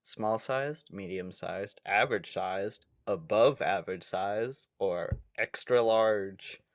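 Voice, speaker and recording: A man's voice: lowish voice, takes it slowly, no room sound, studio quality. A man co-hosting a podcast in casual comedic banter, rambling along playfully. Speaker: podcast co-host.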